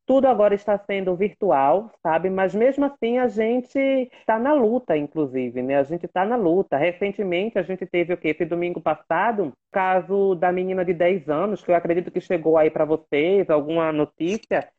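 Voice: medium pitch, normal speed. Impassioned guest over a muffled call. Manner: impassioned, repeats phrases for emphasis, many fillers. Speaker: guest, works at a state legislative assembly. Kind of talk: casual online conversation.

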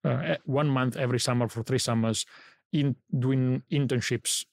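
A man's voice: mid-range voice, fairly even intonation, moderate pace, creaky, no room sound. A middle-aged man from Italy with an Italian accent, a podcast guest in a studio recounting his career in a reflective, think-aloud way. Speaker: guest, company founder. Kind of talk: podcast interview.

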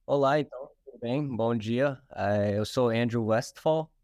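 A man's voice: medium pitch, measured pace, darkish tone, no echo. A man in his twenties, born in the United States to Brazilian parents, speaking Portuguese with a Brazilian accent as a guest, explaining things plainly. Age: 20s. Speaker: real estate agent guest. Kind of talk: casual interview.

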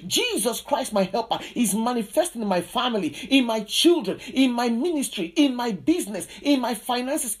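A woman's voice: medium pitch, a little mellow. A woman preacher delivering a charismatic sermon and prayer, emphatic and insistent, with repeated declarative phrases.

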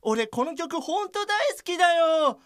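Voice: very high-pitched, lively intonation, unhurried. A performer playing a dependent, wheedling older brother, a male character in an audio drama. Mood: wheedling, sulky.

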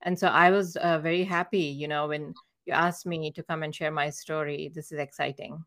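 A woman's voice: low voice, steady tone, normal speed, fairly bright tone. A woman from India with an Indian accent, chatting politely and appreciatively as an interview guest. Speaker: podcast guest, author. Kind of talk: casual interview chat.

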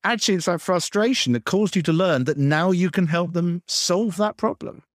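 Animated, higher-voiced male interviewer. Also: normal speed, no room sound, clean studio sound. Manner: probing, rephrases what the guest says. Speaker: interviewer, host. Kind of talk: conversational interviewing.

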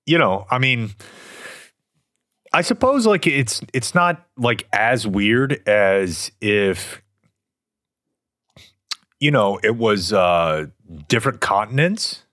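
A man's voice: fairly low pitch, big pitch swings, slow, pauses often, a bit bright, no echo, studio quality. A man co-hosting a podcast, talking loosely with swearing and trailing restarts. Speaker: podcast co-host. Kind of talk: casual chat.

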